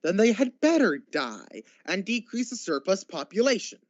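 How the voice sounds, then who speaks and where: A man's voice: high voice, sing-song, normal speed, no room sound, lo-fi sound. A man playing a miserly, grumpy old businessman in a radio drama, curt and scornful. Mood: cold, grumbling.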